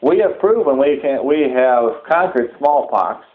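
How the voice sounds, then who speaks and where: A man delivering a sermon-like public address in halting, deliberate phrases, repeating words for emphasis. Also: medium pitch, lively intonation. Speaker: preacher giving a public address. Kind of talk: sermon-like extremist political address. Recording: muffled, thin phone-like audio.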